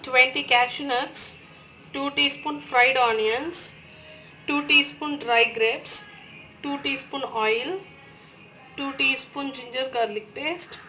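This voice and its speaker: Slow, high-voiced woman cooking. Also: steady tone, sharp tone, faint music behind, poor audio. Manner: slow, step-by-step instructions. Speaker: cooking channel host. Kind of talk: recipe voice-over.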